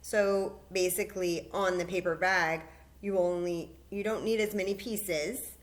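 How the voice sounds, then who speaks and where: A woman's voice: fairly low pitch, steady tone, a little slow, slight background noise. A woman hosting a library story time, guiding children patiently through a paper plate craft step by step.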